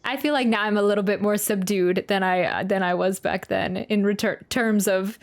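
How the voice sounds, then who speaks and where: A woman's voice: mid-range voice, moderate pace. A woman chatting casually on a livestream as she reacts to a game, giggly and self-deprecating. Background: video game music.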